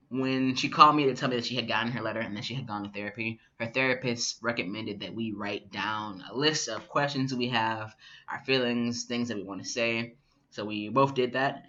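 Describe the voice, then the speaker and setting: A young man in his twenties telling a personal story to the camera in a relaxed, reflective way with many fillers. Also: fairly low pitch. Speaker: vlogger. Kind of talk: casual personal vlog storytelling. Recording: dull lo-fi camera audio.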